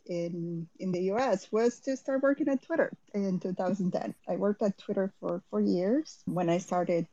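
A woman from Rica, a guest talking frankly and casually in a relaxed, self-deprecating way. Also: on the low side, no echo, highs cut off. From Costa Rica. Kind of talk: casual conversation on a live audio chat.

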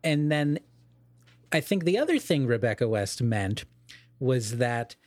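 A man with a mid-pitched voice co-hosts a podcast in a very dry, close-sounding recording. He talks casually but like a scholar, unspooling ideas at length and revising his phrasing along the way. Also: sing-song, a little slow, a little mellow, dry recording. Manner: discursive, scholarly, self-correcting. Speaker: podcast co-host. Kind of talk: casual literary discussion.

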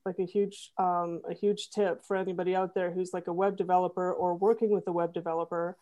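A woman guest on a podcast, explaining her work in a relaxed conversational way and repeating words as she thinks. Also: fairly low pitch, a little fast. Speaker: podcast guest, web designer. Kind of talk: casual interview chat.

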